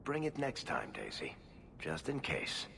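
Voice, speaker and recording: A man's voice: mid-range voice, wildly swooping pitch, unhurried, exaggerated voice. An actor playing an easygoing, joking male rogue companion in video game banter, with a teasing delivery. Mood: droll, teasing. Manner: droll, teasing. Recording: reverberant with clear background noise.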